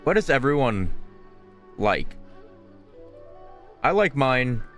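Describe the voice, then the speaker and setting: A man's voice: mid-range voice, sing-song, slow pace, fairly bright tone, faint music behind. A man streaming a game, giving casual, slangy running commentary and reacting aloud with some swearing.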